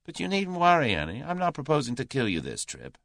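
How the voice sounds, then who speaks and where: A man's voice: mid-range voice, wildly swooping pitch, brisk, vocal fry, a bit bright, dry recording, pitched above the performer's own voice, exaggerated voice. A man voicing a brash, confident American millionaire in an audiobook story, with an American accent and a dry, abrupt drawl. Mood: tough, wry.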